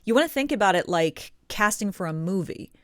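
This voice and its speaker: A woman's voice: mid-range voice, lively intonation, brisk, a bit bright, dry recording, clean studio sound. A woman giving encouraging, explanatory advice straight to viewers as a video host, stressing her key points. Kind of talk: direct-to-viewer coaching talk. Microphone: close studio condenser microphone.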